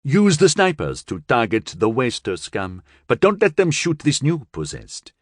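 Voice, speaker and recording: A man voicing a curious, brisk male AI supervisor with a raised tone. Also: mid-range voice, huge pitch swings, dry recording, clean studio sound, pitched above the performer's own voice. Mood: eager.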